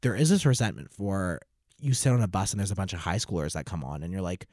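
A nineteen-year-old man hosting his own podcast, talking alone in an animated, theatrical way with sudden loud bursts of play-acting. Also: low voice, fast talker, dry recording, clean studio sound. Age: 19, nearly 20. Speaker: podcast host. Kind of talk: solo podcast monologue.

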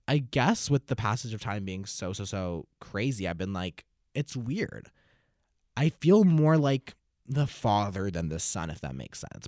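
A nineteen-year-old man hosting his own podcast, talking alone in an animated, theatrical way with sudden loud bursts of play-acting. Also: on the low side, no echo, clean studio sound. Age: 19, nearly 20. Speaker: podcast host. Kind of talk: solo podcast monologue.

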